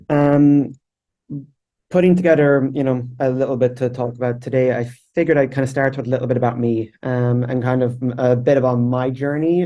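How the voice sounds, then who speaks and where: An Irish man in his thirties gives a conference talk in a low-key, conversational way, leaning on easy fillers. His voice is mellow and dark, with a flat, even pitch. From Ireland. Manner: relaxed, informal, many fillers like "kind of". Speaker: researcher presenting at a webinar. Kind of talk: conference presentation.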